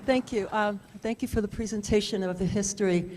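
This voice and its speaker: A woman's voice: medium pitch, steady tone, brisk, a little mellow, slight background noise. A woman club member politely asking a somewhat hesitant question.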